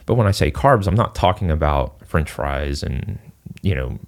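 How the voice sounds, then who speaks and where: A man with a very deep voice, from Singapore, talking as a fitness coach on a podcast. His speech wanders loosely, stacked with little fillers as he works through each point. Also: lively intonation, moderate pace, creaky. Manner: loose and rambling, full of "you know" and "like". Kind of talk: casual podcast conversation.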